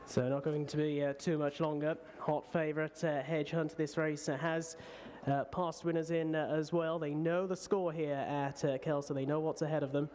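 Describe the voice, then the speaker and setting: A man giving live race commentary as a racecourse announcer, pausing often between short phrases. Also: fairly high pitch, little pitch movement, darkish tone, slight background noise.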